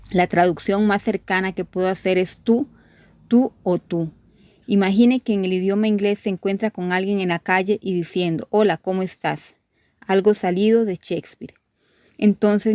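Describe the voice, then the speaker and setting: A woman reading a devotional course aloud, sharing testimonies in a steady, measured way with gentle emphasis. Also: medium pitch, no room sound.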